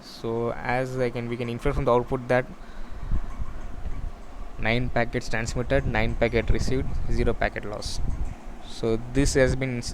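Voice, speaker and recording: A man giving an informal software demo walkthrough, explaining in a halting, repetitive way. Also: mid-range voice. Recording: clear steady background noise.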